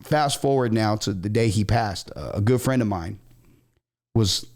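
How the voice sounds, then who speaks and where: American male podcast host, lower-pitched voice, loose slangy storyteller in relaxed conversation. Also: unhurried. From Southern California, USA. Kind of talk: casual storytelling chat.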